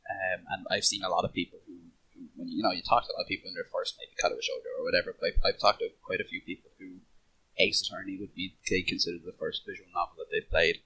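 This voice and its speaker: A man with a low, slightly rough voice talks casually on a podcast panel. His tone has a forceful, bright edge. He speaks haltingly, doubling back on words as he works out his point. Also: poor audio.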